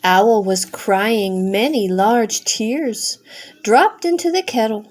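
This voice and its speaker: Slow-paced grandmother reading a bedtime story. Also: mid-range voice. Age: middle-aged or older. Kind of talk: children's storybook narration.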